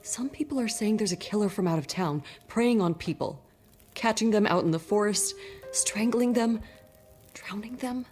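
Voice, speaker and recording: A woman's voice: mid-range voice, normal speed, darkish tone, natural voice. Actress as grave, worried townswoman over music. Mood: anxious, grave. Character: worried townswoman keeping vigil over a sick loved one. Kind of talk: cinematic video game drama acting.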